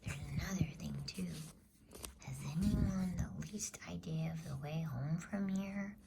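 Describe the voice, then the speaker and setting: A woman voicing a sceptical, grumbling boy in a breathy whisper for a storybook reading. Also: fairly low pitch, unhurried, heavy background noise. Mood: suspicious, grumbling.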